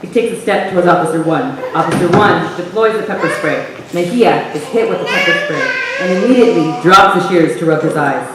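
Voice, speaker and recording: A woman's voice: fairly low pitch, very animated, fairly quick, few pauses. Woman narrator in a very echoey theater. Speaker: narrator and audience host. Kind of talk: scripted stage narration. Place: theater hall, recorded from the audience. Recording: roomy, distant stage recording with faint noise.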